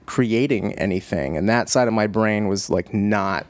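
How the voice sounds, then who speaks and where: A man hosting a podcast, chatting casually in an easygoing, halting way. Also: lowish voice, no room sound. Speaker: podcast host, musician.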